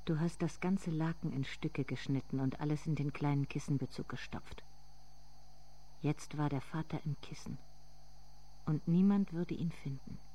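An actor performing a literary radio drama monologue as a haunted inner narrator, speaking in deliberate, intense fragments. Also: mid-range voice, warm tone, natural voice. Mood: brooding, restrained.

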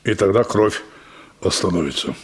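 Gruff, curt dentist with husky low voice. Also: male voice, animated. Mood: cold, dismissive. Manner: curt, grunting, unhurried bedside muttering. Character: gruff, indifferent, well-fed male dentist, curt and bored. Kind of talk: radio play character acting.

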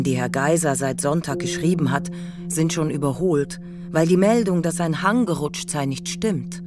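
A narrator reading scripted literary prose for a radio play in a measured, deliberate way. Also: medium pitch.

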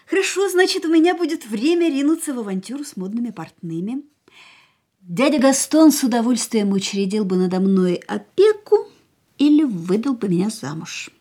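A woman's voice: fairly high pitch, very animated, normal speed, a little mellow. An actress playing a restless, dreamy, haunted young woman in an intimate radio drama. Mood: wistful, probing. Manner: introspective, literary, dramatic delivery. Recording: radio play with music underneath. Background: music.

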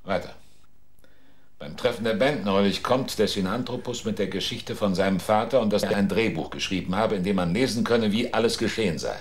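An actor in a radio drama playing a hard-nosed investigator who presses witnesses in a cool, clipped, insistent way. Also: male voice, lowish voice, a little fast, close to an everyday voice. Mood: insistent, cold.

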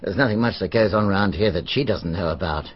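An actor playing a plain-spoken, friendly male police superintendent, matter-of-fact, with a husky voice, in a radio drama. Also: sits low, fairly quick. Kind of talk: BBC radio drama acting. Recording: dull archival radio recording.

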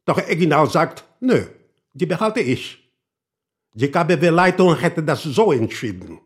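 Bitter old activist, slow and swooping. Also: male voice, fairly high pitch, sing-song. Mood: indignant. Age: older. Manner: scornful, emphatic. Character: bitter, indignant old male former revolutionary activist. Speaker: murder suspect. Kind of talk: radio drama acting.

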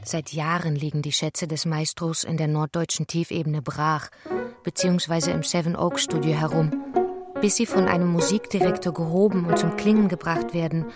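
A woman giving scripted radio narration in an even, measured way. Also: low-pitched. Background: guitar music.

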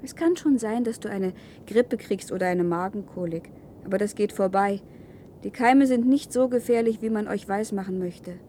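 An actress playing a calm, earnest woman living free in a wasteland, in a 1970s German radio play. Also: mid-range voice, moderate pace, a little hiss or hum, close to an everyday voice. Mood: calm, reassuring.

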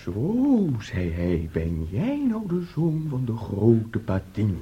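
A man acting an excitable, boastful young son in a radio play, telling things with theatrical eagerness. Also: on the low side, slow pace, husky, lo-fi sound, exaggerated voice. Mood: proud, eager.

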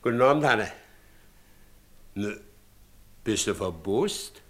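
An actor playing a good-natured but commanding village husband in a German radio play, plain-spoken and in Silesian dialect. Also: male voice, lowish voice, lively intonation, slow, fairly bright tone. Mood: brisk, instructing. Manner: plain-spoken, directing others.

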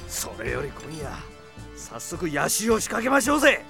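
A cocky bandit underling in an audio drama. His high voice leaps around with a heavy rasping creak. The speech is coarse and excitable, over prominent music. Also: moderate pace, full character voice. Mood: eager. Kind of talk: anime-style audio drama acting.

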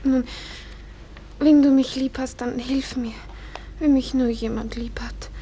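A young woman playing a frightened, emotional bride in a German radio play, pleading and halting. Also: sits high, fairly even intonation, warm tone, a little hiss or hum. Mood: anxious, searching. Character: frightened young bride clinging to her father.

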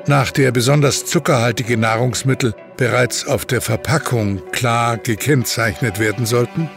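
A man narrating a scripted, documentary-style text as the narrator of an audio production. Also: medium pitch.

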